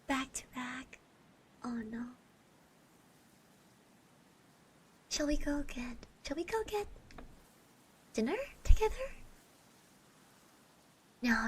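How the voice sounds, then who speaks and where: A woman playing a shy, sweet schoolgirl classmate in an ASMR roleplay, speaking softly and hesitantly to the listener. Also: high voice. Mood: embarrassed, soft. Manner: hesitant, stammering, often dropping to soft murmurs and whispers.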